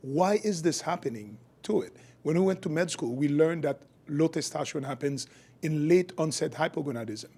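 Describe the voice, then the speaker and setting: A man's voice: on the high side, normal speed, vocal fry, warm tone, husky. A middle-aged man from Haiti, a physician guest explaining his work and views in a podcast interview. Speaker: guest, functional medicine physician.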